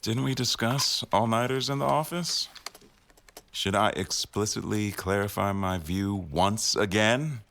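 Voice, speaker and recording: A man's voice: fairly low pitch, unhurried, creaky, fairly bright tone, slight background noise. A man playing a stern, teasing office boss in a boyfriend roleplay audio, speaking in a wry, formal way. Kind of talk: boyfriend ASMR roleplay acting.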